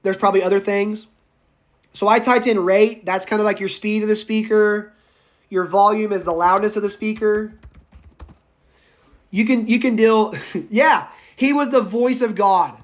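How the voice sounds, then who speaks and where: A raspy-voiced male public speaking instructor lecturing a live online class, speaking loudly without a microphone, chatty and prone to tangents. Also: high-pitched, fairly even intonation, a little slow, pauses often. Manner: speaks loudly, projecting as if shouting; enthusiastic, goes off on tangents and laughs. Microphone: no microphone, voice caught by the room recorder.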